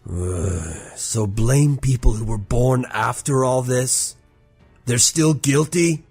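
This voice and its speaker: A man playing a proud, defiant swordsman in an audio drama, husky and bitter as he challenges his elder. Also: mid-range voice, slow pace, a little mellow, faint music behind. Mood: challenging. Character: wounded-proud, defiant swordsman confronting his ruthless father. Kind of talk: audio fiction character acting.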